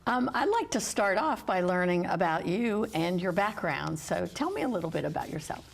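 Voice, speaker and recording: A woman's voice: fairly low pitch, animated, normal speed, gravelly. A woman from West Virginia hosting a health interview show, encouraging her guests and complimenting them as she asks follow-up questions. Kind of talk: friendly interview.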